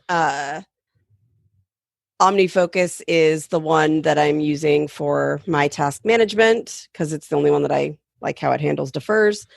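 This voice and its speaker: A woman's voice: sits low, creaky, no room sound, studio quality. A woman chatting casually about tech as a guest on a podcast.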